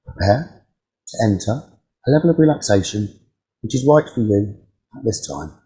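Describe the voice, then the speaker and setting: A man leading a guided meditation as a teacher, giving calm, soothing instructions with frequent pauses. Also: fairly low pitch, rough recording.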